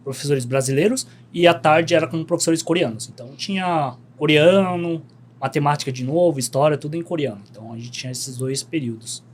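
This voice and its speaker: A young man, a podcast guest telling stories in relaxed, slangy chat. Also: fairly high pitch, brisk. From Brazil. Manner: laid-back storytelling, slangy, animated.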